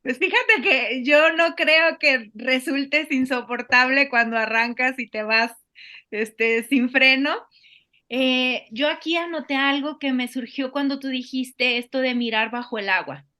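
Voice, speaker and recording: A woman hosts the conversation and picks up her guest's ideas with care. Her voice is bright and clear, and it sits fairly high. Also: a little slow. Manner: thoughtful, builds on the guest's points. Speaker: host. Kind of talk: interview hosting.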